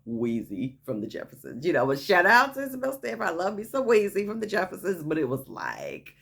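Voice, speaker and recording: A woman with a low, naturally deep voice talks straight to her viewers in a casual story-time vlog. She is chatty and enthusiastic, piling on "okay?" asides as she builds up excitement. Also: brisk, gravelly, breathy edge. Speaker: vlogger.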